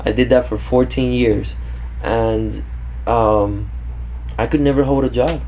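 A man in his thirties who grew up in Kentucky, hosting a casual podcast interview and talking in a relaxed way, often saying "bro", into a studio boom mic. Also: a little slow, slight background noise, rough recording. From grew up in Kentucky, born in Cuba. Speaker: podcast host and tattoo artist. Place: indoor studio with leather couch. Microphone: studio mic on boom arm.

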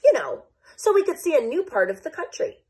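A woman's voice: high-pitched, big pitch swings, fairly quick, a little mellow, pitched above the performer's own voice. A woman voicing Idaho, a polite storybook state, in a light, sing-song manner.

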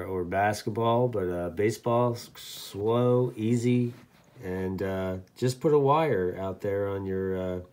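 A man casually demonstrating as a radio hobbyist, talking in a halting, rambling, easygoing way. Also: on the low side, darkish tone. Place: indoors at a radio desk.

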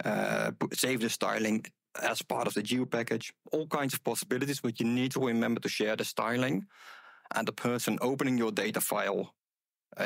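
Middle-aged male speaker, loud voice with some gravel, halting talk, headset mic. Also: medium pitch, no echo. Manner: hesitant, many ums and uhs. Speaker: conference speaker. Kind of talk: conference talk. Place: conference room with audience.

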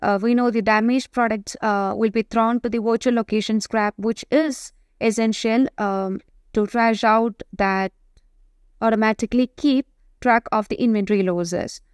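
A woman giving explanatory software tutorial narration, speaking in a halting, semi-improvised way. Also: on the high side, steady tone, clean tone, no echo, studio quality. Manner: explanatory, halting delivery with frequent hesitations.

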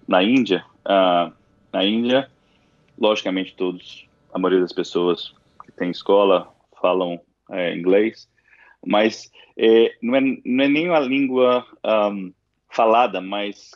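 A man's voice: fairly low pitch, dry recording, highs cut off. A man with a Brazilian Portuguese accent, an interview guest talking casually, rambling with restarts and fillers. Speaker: interview guest, university alumnus.

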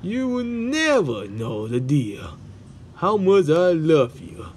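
A man giving an amateur dramatic comic reading in a halting, exaggerated manner on a home recording. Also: fairly high pitch, big pitch swings, slight background noise.

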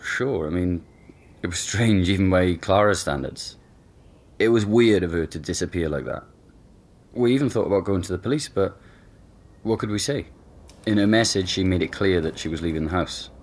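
Actor playing an easygoing deep-voiced young man. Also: moderate pace, slight background noise, natural voice. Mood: casual. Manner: relaxed, joking, hesitant with fillers. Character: easygoing young man, boyfriend who owns the house. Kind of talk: audio drama acting.

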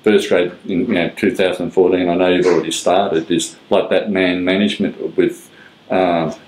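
A man's voice: low voice, level tone, normal speed, darkish tone, a little hiss or hum. An older man, interviewing in rambling, hesitant questions. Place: small room with gray wall, seated at table. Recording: roomy echo.

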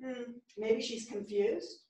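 Actress as older woman friend in stage drama, higher-pitched, heard from far off in a reverberant theatre. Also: fairly even intonation, slow, a little mellow. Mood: sympathetic. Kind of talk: staged play reading. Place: small theatre stage, seated at music stand. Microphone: far camera.

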